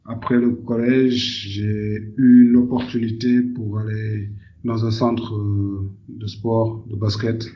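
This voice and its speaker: A man's voice: fairly low pitch, level tone, slow pace, lo-fi sound. A man from Senegal answering conversationally as an interview guest, often repeating words as he searches for phrasing. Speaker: podcast guest, entrepreneur.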